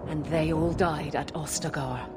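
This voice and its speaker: An actress playing a fierce, anguished and stubbornly protective warrior wife in a fantasy game drama. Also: female voice, low voice, fairly even intonation, a little slow. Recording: long hall-like reverb.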